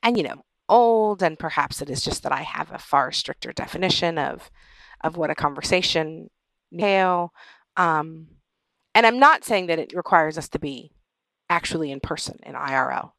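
A woman hosting a podcast conversation, speaking in a measured, halting, thoughtful way. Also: low-pitched, animated, vocal fry, fairly bright tone, no room sound, low-quality recording. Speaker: podcast host. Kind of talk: conversational interview with reflective remarks.

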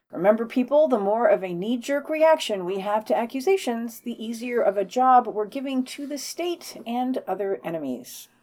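A woman's voice: mid-range voice, a little mellow. A woman co-hosting a podcast, reading scripted news items and quotes with wry commentary. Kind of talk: scripted narration with read quotes and brief commentary.

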